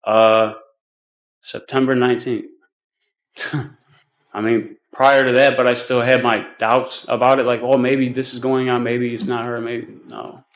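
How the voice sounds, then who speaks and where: American guy in court as defendant, pretty monotone, mid pitch, halting slangy explaining, lots of like and you know. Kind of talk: informal explaining of himself in court.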